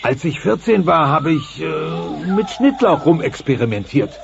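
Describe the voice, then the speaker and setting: A man's voice: fairly high pitch, sing-song, a little slow, gravelly, heavily acted. An actor playing a grumpy, indignant middle-aged dinosaur father, theatrical and bossy, in a dubbed comedy. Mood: lecturing. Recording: dull cassette transfer with noise.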